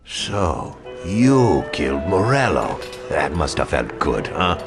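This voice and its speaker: A skeptical American investigator in a crime game. His voice is deep and swings in pitch with sardonic, probing questions. Music plays clearly behind him. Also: very animated, takes it slowly, full character voice. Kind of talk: video game cutscene acting.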